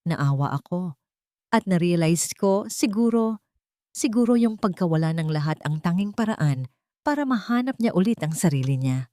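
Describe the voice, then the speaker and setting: A woman's voice: on the low side, animated, moderate pace, warm tone, dry recording, clean studio sound, close to an everyday voice. A woman voicing a brave, thoughtful young adult daughter who speaks earnestly.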